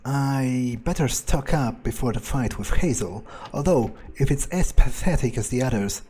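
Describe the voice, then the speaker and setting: A man's voice: medium pitch, moderate pace, mellow, slightly rough, natural voice. A man playing an arrogant, power-drunk vampire in an improvised in-character game monologue, sneering, profane and domineering. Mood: contemptuous, musing.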